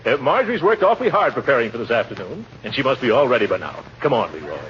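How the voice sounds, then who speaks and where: An actor playing a pompous, blustering middle-aged uncle in 1940s radio comedy style. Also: male voice, mid-range voice, very animated, quick pace, fairly bright tone. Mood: genial, resigned. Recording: noisy, dull old radio recording.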